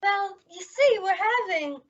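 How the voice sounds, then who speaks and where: Actress doing sweet airhead girl from old radio comedy, super high and swoopy, guileless, lo-fi audio. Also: expressive, unhurried. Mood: naive. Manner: guileless, ditzy. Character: sweet, scatterbrained young dumb-blonde type. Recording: dull lo-fi recording.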